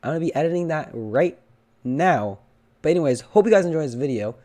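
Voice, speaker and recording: A young man with a hoarse, cracking voice from illness, chatting casually as he opens loot boxes and pleads for better luck. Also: fairly high pitch, lively intonation, fairly quick, warm tone. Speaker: gaming channel host. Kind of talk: casual game commentary.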